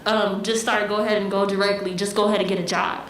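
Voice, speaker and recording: A woman's voice: mid-range voice, level tone, fast. A young American woman speaking conversationally on a town hall panel, explaining her points with repeated phrases. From United States. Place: echoey room at a town hall forum. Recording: echoey room, faint noise.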